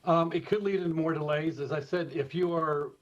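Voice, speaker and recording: A man with an American accent explaining things informally and in measured fashion as an expert guest in a live interview, over a remote feed. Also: fairly high pitch, steady tone. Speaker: expert guest, former airport communications director. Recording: dull, slightly lo-fi remote feed.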